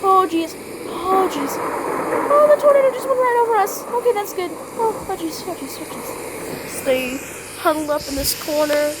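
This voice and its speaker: A woman's voice: very high-pitched, expressive, normal speed, pitched above the performer's own voice. A woman playing a panicking tornado survivor huddled in shelter, frantically repeating exclamations in an amateur drama. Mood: panicked. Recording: clearly noisy home recording.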